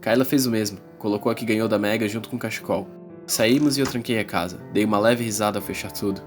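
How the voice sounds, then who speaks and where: A man's voice: lowish voice, fairly even intonation, fast, faint music behind, close to an everyday voice. A Brazilian man playing a teenage schoolboy who narrates an uneasy fantasy adventure in a dramatized audiobook. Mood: narrating. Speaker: first-person narrator.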